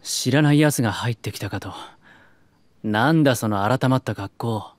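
An actor playing a cool, blunt but secretly tender young warrior priest in a Japanese drama CD. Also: male voice, mid-range voice, normal speed, no room sound, close to an everyday voice. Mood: matter-of-fact. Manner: gruff wording, understated, soft-spoken tenderness.

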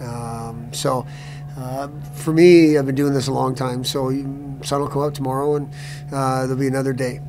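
A middle-aged man, a hockey coach at a postgame press conference, answering seated, with halting speech that repeats words and restarts often. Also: mid-range voice, level tone, over music, rough recording. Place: press room before a sponsor backdrop.

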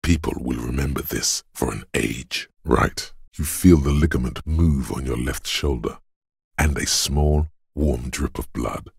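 An actor voicing a stern, gruff, goading inner voice of bodily endurance in a video game, with a gravelly, husky bass and a weighty, deliberate delivery. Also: male voice, no room sound, clean studio sound, exaggerated voice. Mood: grave, measured. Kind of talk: dramatic video game narration.

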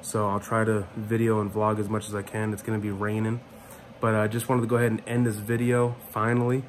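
A man in his thirties talking casually and haltingly to a phone held at arm's length in a small room. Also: lowish voice, completely flat delivery, slight background noise. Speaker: vlogger. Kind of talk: casual vlog sign-off to camera.

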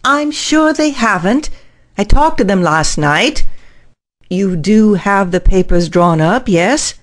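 A woman's voice: mid-range voice, lively intonation, slow. A woman acting an earnest, eager-to-please scientist in an audio drama. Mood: eager, diplomatic.

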